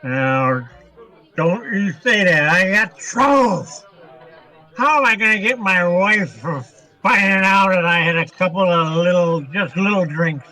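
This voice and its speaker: Slurring, high-pitched drunk barfly. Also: male voice, lively intonation, measured pace, bright, forceful tone, some chatter behind, full character voice, rough recording. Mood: pleading, whiny. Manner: rambling, repetitive, over-familiar. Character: maudlin, happily drunk male barfly pestering strangers. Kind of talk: radio comedy acting.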